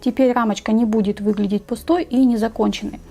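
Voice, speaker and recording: A woman narrating a step-by-step craft tutorial in a measured, explanatory way. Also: fairly high pitch, steady tone, gravelly, mellow, soft background music.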